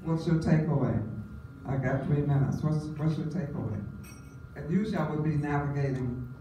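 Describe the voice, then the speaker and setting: A woman with a raspy voice presenting a workshop and quizzing the audience. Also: low-pitched, moderate pace, muffled recording. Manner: animated, questioning the audience. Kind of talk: interactive workshop talk. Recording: echoey room, distant mic, noisy.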